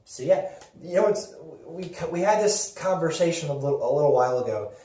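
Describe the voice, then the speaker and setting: A man's voice: a little high, lively intonation, a little fast, dark tone. Echoey, distant man rambling casually. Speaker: podcast host. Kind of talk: casual chat about games and films. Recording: roomy echo, distant from microphone.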